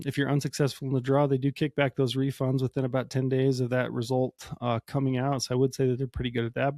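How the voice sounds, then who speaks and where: A man with a hoarse voice from a cold, hosting a solo podcast and explaining things in a casual, rambling way with many fillers. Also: medium pitch, a little mellow, no room sound, clean studio sound. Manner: casual, explanatory, many ums and you-knows. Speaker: podcast host.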